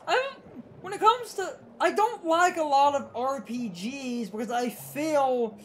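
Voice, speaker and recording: A woman's voice: high voice, animated, a little slow, a bit bright, a little hiss or hum. A woman hosting a gaming video, giving casual game commentary alongside a co-host.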